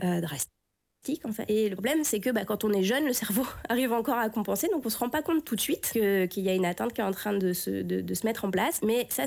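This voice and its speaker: A middle-aged woman answers as an interviewed practitioner. Her voice has a gravelly creak at a medium pitch. She explains spontaneously, repeating and rewording to make her point. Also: a little mellow. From France. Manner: conversational, repeats and rephrases to stress points. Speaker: health practitioner being interviewed.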